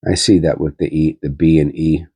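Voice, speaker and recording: Male host, congested and nasal, very deep and dark, husky, rambling casual coin talk. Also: fairly even intonation, moderate pace, no echo. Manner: rambling, filler-heavy, thinking aloud. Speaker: coin-hunting channel host. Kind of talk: casual coin roll hunting commentary.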